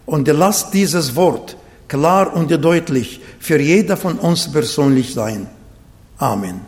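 A man with an Arabic accent preaching a sermon and praying, slow and emphatic, repeating phrases to his congregation. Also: a little high, lively intonation, long reverb tail. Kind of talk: sermon with prayer. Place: church hall, livestreamed service.

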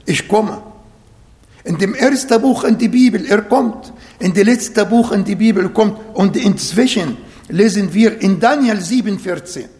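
Slow high-voiced man preaching in a hall. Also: darkish tone. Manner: non-native German with grammar slips; slow, emphatic scripture reading. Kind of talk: sermon.

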